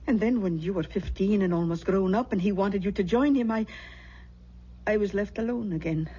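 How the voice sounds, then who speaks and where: Older aunt and spirit medium, played by a woman, warm dark tone, wistful, radio play, dull old recording. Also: mid-range voice, normal speed, breathy edge, natural voice. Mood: wistful, regretful. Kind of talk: radio drama acting.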